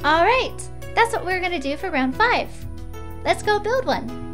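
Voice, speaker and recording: Woman doing cheerful hyper little anime-game girl, high pitched n swoopy, bubbly. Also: very animated, moderate pace, music in the background. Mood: excited, eager. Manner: bubbly, speaks of herself in third person. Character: cheerful, childlike, energetic mysterious seaside girl.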